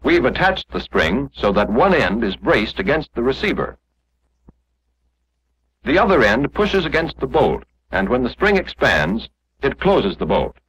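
American guy narrating an old 40s army training film, lively up and down pitch, dull muffled sound, explains stuff step by step. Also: a little slow, breathy edge. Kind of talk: 1940s instructional film narration, scripted.

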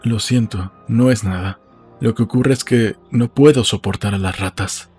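Man acting uneasy wistful man, subdued husky delivery, slow audiobook dialogue, background music. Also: fairly low pitch, a little mellow.

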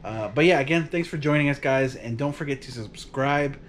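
A man in his thirties talks loosely to the camera from his couch at home, in a middle-pitched voice. He rambles and laughs as he wraps up a trip. The sound is picked up by a camera across the living room. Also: a little fast, slight background noise. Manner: relaxed, rambling, laughs often. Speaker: vlog host. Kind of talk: casual chat to camera.

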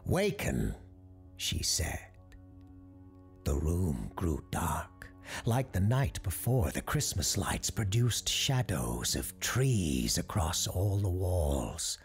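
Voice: sits low, sing-song, slow, gravelly, faint music behind. A storyteller giving a scripted narration of a spooky tale. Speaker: storyteller, show host character.

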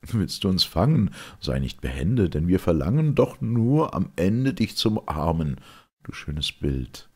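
Man as solemn classical chorus, low and slow. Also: big pitch swings, a little mellow, slightly rough, full character voice. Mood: wistful. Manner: measured verse declamation.

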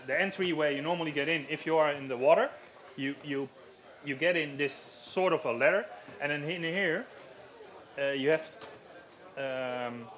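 A man's voice: fairly high pitch, fairly bright tone. A man in his thirties with a Dutch accent, giving a live stage demonstration in a marquee on a headset mic, explaining plainly with many fillers. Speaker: safety equipment rep. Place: large marquee at outdoor show.

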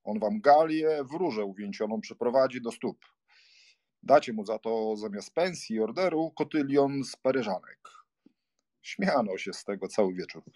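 An actor playing a worldly baron and diplomat in a dramatised audiobook, bantering and emphatic. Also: male voice, mid-range voice, lively intonation, moderate pace, darkish tone, no echo, clean studio sound, lightly acted. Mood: amused, gossipy.

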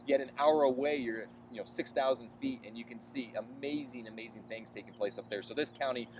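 A man's voice: mid-range voice, brisk, distant mic, background noise. An American man in his forties from Central California, giving earnest, fluent, conversational answers as an interview guest. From Central California, USA. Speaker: interview guest, farm organization chief. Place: outdoors on an empty baseball stadium field.

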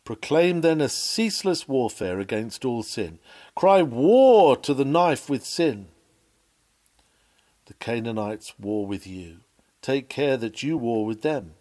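A man reading a written sermon aloud with earnest, emphatic, measured oratory. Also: medium pitch, big pitch swings, a little mellow. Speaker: sermon reader.

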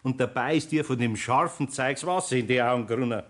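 A man's voice: medium pitch, brisk, natural voice. An actor playing a grumbling, fatherly older craftsman with a Bavarian accent in a 1960s radio play, chiding in a folksy way. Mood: knowing, teasing.

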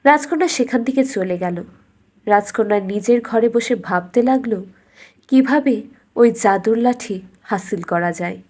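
Female storyteller for scripted fairy tale narration, fairly high-pitched voice. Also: a little slow, a little mellow.

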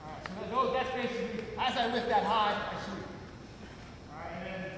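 A fairly high-voiced man teaches a wrestling clinic. He talks step by step and leans on "all right" between moves. He is caught by a camera far off in a big arena with a long, echoing reverb and clear hum behind him. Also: expressive, brisk. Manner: instructive, repeats "all right" often. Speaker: wrestling coach. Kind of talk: clinic demonstration. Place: large gym arena with wrestling mat.

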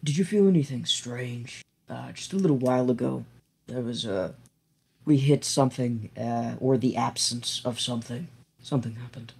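A woman with a husky voice playing a tabletop game, role-playing in a casual, hesitant way. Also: very deep voice, normal speed, darkish tone. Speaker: tabletop role-play player. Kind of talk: casual in-character role-play.

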